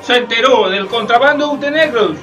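An excitable sidekick in radio theatre. The voice is extremely high and exclamatory, sounding a step away from the microphone over music. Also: male voice, moderate pace. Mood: urgent. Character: excitable friend and partner of a slave-trading captain. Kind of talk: community radio theatre acting. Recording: distant from mic, music behind.

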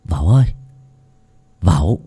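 A man voicing a cheeky, clever young peasant lad who teases and mocks in a bright, sly way. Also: deep voice, lively intonation, unhurried, husky, pitched below the performer's own voice, heavily acted. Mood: mocking.

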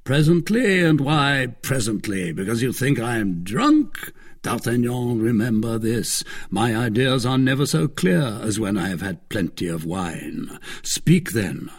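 A man voicing a proud, hard-drinking gentleman swordsman in a storybook reading, declamatory and lordly. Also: mid-range voice, animated, unhurried, husky. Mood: insistent.

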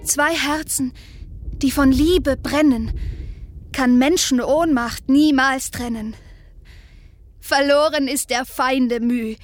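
A woman playing a devoted, brave young bride in a theatrical verse radio drama, speaking in a declamatory way. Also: high-pitched. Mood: devoted, resolute. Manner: slow, declamatory. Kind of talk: classical theatrical verse acting.

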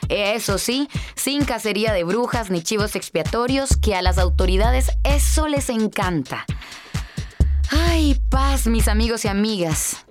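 An actress playing a concerned small-town radio host in a radio drama, with a Costa Rican accent and chatty sighing delivery. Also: female voice, medium pitch, darkish tone, natural voice. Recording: music bed behind voice.

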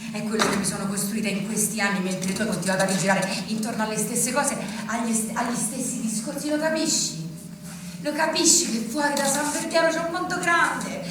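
A woman's voice: sits high, natural voice. Actress as a defiant woman, echoey distant stage. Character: defiant, wounded woman who left her provincial town. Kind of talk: theatrical stage acting. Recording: echoey stage recording from far off, noisy.

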